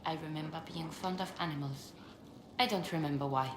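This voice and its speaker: Low, echoey, deadpan woman researcher played by an actress. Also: fairly quick, noisy background, lightly acted. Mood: detached, dutiful. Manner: detached, deadpan, formal. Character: flat, emotionless woman researcher of creatures, dutiful. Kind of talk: scripted video game dialogue.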